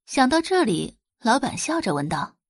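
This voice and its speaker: A woman's voice: on the high side, big pitch swings, normal speed, slightly rough, dry recording, clean studio sound. A woman narrating a scripted audiobook drama in a storytelling style.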